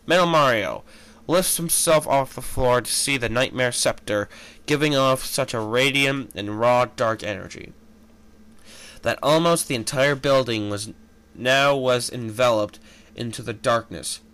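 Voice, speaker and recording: A man reading fan fiction aloud as an amateur, halting and correcting himself, with casual commentary. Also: medium pitch, a bit bright, clear, resonant tone, low-quality recording. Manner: halting, stumbles over words and corrects himself.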